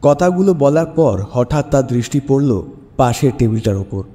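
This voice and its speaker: A man's voice: medium pitch, expressive, normal speed, warm tone. A man hosting and narrating a scripted dramatic story for audio. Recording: long reverb with music bed. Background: music.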